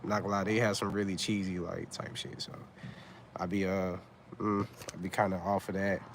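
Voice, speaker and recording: A man's voice: deep voice, little pitch movement, normal speed, a little hiss or hum. A man in his thirties chatting casually on a livestream, laid-back and rambling, full of fillers and slang. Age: 30s. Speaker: vlogger on a livestream.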